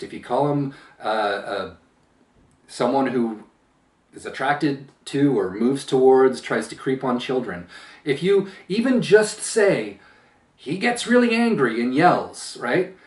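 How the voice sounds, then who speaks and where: A man giving an emphatic opinion monologue as a channel host, with a powerful voice that swells louder and slow, deliberate phrasing with pauses. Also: medium pitch, expressive. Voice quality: powerful voice that gets loud when upset. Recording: roomy echo, distant from mic.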